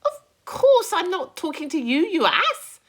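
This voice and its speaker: A woman voicing a bossy, irritable, rude male centipede in a children's storybook, loud and scolding. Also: extremely high pitch, sing-song, a little slow, pitched above the performer's own voice, full character voice. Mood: exasperated. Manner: shouting, scolding.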